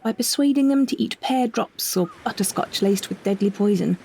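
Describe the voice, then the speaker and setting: A woman narrating a scripted first-person story in a measured, reflective manner. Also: medium pitch, gravelly, warm tone, a little hiss or hum. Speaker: solo performer narrating a story.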